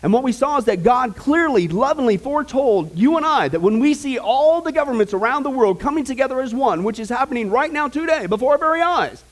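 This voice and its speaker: A man's voice: very high voice, animated, fairly quick, few pauses. A man preaching a sermon in a church sanctuary, telling a joke and making folksy asides to the congregation. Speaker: pastor.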